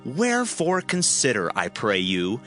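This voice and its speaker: A man's voice: medium pitch, huge pitch swings, a little slow, a bit bright, faint music behind. An actor playing a distraught, indignant king in a dramatized scripture reading, theatrical and anguished. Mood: anguished indignation.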